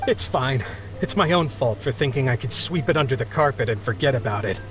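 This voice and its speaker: A man's voice: medium pitch, lively intonation, a little fast, a little hiss or hum, lightly acted. An actor playing an embarrassed, grateful married sea captain in a video game, flustered and apologetic as he talks. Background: background music.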